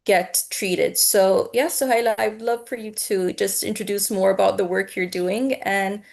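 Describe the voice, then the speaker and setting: A woman's voice: mid-range voice, steady tone, unhurried, creaky, darkish tone. A young adult woman from Egypt moderating an online panel discussion, in a conversational, lightly hesitant manner.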